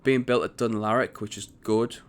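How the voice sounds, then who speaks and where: Chatty man with a croaky voice. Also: fairly low pitch, fairly even intonation, moderate pace. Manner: chatty, rambling, self-correcting. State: croaky morning voice. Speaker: gaming channel host. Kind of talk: casual strategy game commentary.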